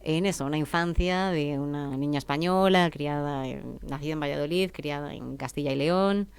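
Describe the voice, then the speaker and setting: A woman's voice: low voice, normal speed, vocal fry, clear, resonant tone. A woman in her forties from Spain with a Spanish accent, a guest author talking in a radio interview, reflective and hesitant with many fillers.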